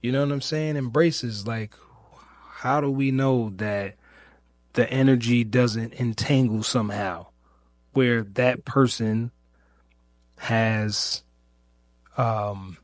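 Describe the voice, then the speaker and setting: Slow, halting man thinking aloud. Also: mid-range voice, steady tone, no room sound. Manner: halting, searching, with long pauses and repeated phrases; informal, rhetorical questioning. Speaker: podcast host. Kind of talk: reflective personal monologue about grief.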